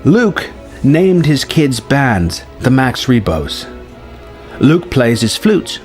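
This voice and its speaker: Animated male narrator over music. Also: mid-range voice, takes it slowly. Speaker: narrator reading a story treatment. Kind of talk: scripted dramatic narration.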